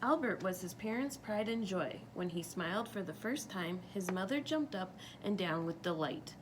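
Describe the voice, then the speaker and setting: A woman reading a picture book aloud for children, pausing to ask them questions about the story. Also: on the low side, animated, noisy background. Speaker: storytime reader.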